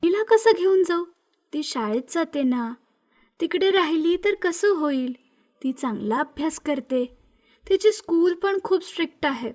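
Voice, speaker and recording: A woman playing a concerned, protective wife and mother in an animated story. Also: extremely high pitch, a little slow. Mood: anxious, persuading. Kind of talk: animated moral story dialogue.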